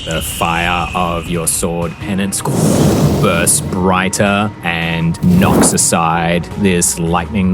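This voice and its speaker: A man narrating a tabletop game as game master, theatrical and deliberate, telling the action like a dramatic story. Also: low voice. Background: background music.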